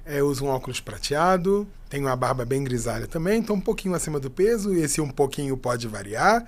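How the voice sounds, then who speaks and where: A middle-aged male teacher talking in an easygoing, friendly way on a panel. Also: medium pitch. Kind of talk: panel discussion.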